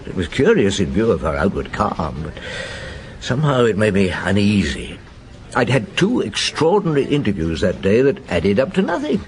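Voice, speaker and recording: An actor playing a weary, doubting middle-aged chief inspector in a radio drama, probing, hesitant and often muttering to himself. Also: male voice, on the low side, huge pitch swings, moderate pace. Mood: uneasy, reflective. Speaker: detective and narrator. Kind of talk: radio drama dialogue and narration.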